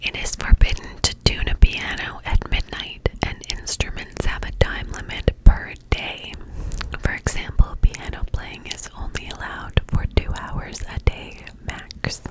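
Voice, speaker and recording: A Canadian woman whispering close to the mic in an ASMR video, breathy with soft mouth sounds. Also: measured pace, poor audio. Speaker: ASMR creator.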